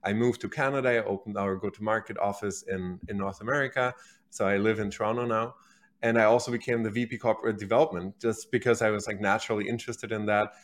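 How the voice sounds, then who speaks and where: Low-voiced German-accented man. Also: fairly even intonation, quick pace. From Berlin, Germany. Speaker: podcast guest, company executive. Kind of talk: casual interview storytelling.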